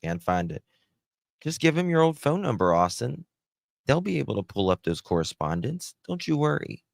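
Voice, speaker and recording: A man's voice: medium pitch, big pitch swings, normal speed, creaky, dry recording, clean studio sound. A man hosting a casual live commentary, thinking aloud in a conversational way as he reacts and reads out comments. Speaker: host reacting to recordings and reading live comments.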